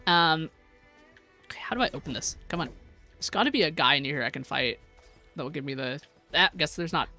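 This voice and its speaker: Bright giggly American woman streaming games. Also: deep voice, animated, brisk, long pauses, faint music behind. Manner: giggly, rambling, reads chat aloud. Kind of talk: casual gameplay livestream chat.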